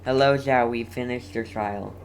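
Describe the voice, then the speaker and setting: A video game streamer giving casual live commentary and chatting with viewers, halting and often repeating words. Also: mid-range voice, fairly even intonation, slight background noise.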